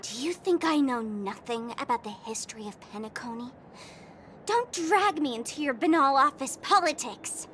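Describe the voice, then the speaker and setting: A woman's voice: high voice, lively intonation, moderate pace, a bit bright. An actress playing a sly, taunting young woman trickster in a video game, with a theatrical, teasing delivery. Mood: mocking. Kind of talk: video game character acting. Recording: music playing behind the voice.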